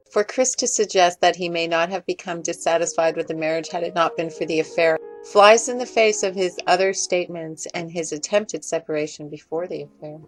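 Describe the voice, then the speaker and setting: Lower-voiced woman giving commentary. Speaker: video creator, commentator. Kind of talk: critical commentary voice-over. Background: background music.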